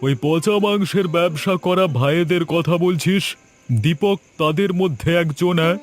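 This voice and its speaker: A man's voice: on the high side, measured pace, soft background music, lightly acted. A man voicing a doting middle-aged father, a village police officer, in a cartoon. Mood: doubtful.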